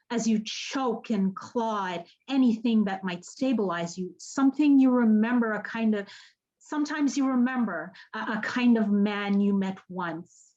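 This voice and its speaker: An actress playing an earnest, haunted woman in an audio drama, speaking haltingly and searching for her words. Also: medium pitch, a little mellow, muffled recording, close to an everyday voice. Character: earnest, haunted woman running an apocalypse support group. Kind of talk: naturalistic podcast radio drama acting.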